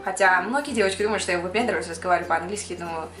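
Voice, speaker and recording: A woman's voice: lowish voice, racing, echoey room, over music. A young woman from Kyrgyzstan who works as a makeup artist, chatting casually to camera and answering viewers' questions, sprinkling in English words and laughing now and then.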